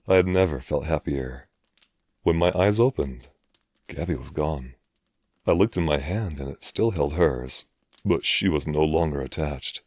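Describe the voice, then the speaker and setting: A man's voice: rumbling low, darkish tone, no room sound. A man narrating a scripted first-person horror story.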